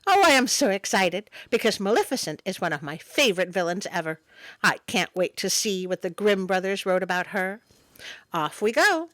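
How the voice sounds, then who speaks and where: A woman's voice: medium pitch, big pitch swings, normal speed, a bit bright. A woman hosting a story show, reading a tale aloud with playful, wry asides.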